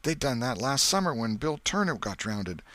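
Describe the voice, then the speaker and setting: An earnest, unschooled country boy from a storybook, acted out by a man. The voice is lifted above the reader's natural pitch and talks in matter-of-fact folksy dialect with loose grammar. The sound is dry and close. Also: sing-song, normal speed, a bit bright, no room sound. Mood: eager, informative.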